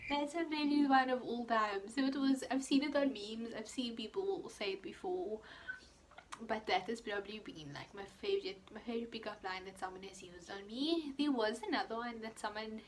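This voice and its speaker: A woman's voice: on the high side, normal speed, slight background noise, low-quality recording. A young woman chatting casually as she answers viewer questions in her vlog, full of fillers and quoted asides. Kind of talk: casual chat.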